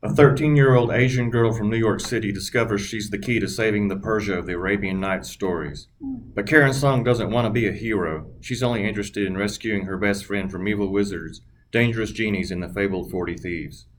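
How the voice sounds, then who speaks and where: A soft-spoken man at a writing workshop, reading his book pitch aloud. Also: brisk, a little hiss or hum. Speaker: workshop attendee.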